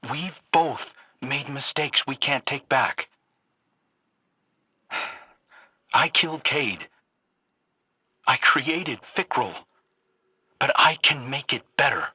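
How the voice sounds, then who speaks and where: An actor playing an earnest, remorseful guardian seeking redemption in a game, speaking persuasively with a husky, intense voice. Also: male voice, mid-range voice, extremely slow, pauses often, sharp tone, no room sound, full character voice. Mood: remorseful, pleading. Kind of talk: dramatic game dialogue.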